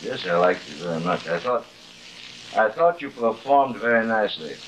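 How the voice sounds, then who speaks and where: A man's voice: on the low side, expressive, normal speed, a bit bright, low-quality recording. A middle-aged actor playing a vain, cruel, drunken stage-actor father in a grandiose, slurred, taunting way, in theatrical 1950s television style. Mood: needling. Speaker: actor in a television horror drama. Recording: hissy old 1950s television soundtrack.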